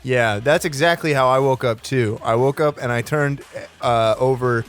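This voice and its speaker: A man playing an awkward, halting stockbroker making small talk in a comedy script reading. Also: medium pitch, faint music behind, natural voice. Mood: awkward, friendly.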